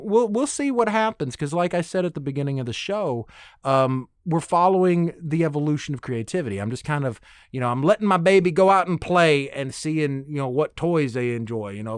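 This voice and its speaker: A man's voice: medium pitch, no echo, studio quality. A man hosting a solo podcast in a casual, rambling, self-deprecating way with informal language. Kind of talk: casual rambling monologue, conversational.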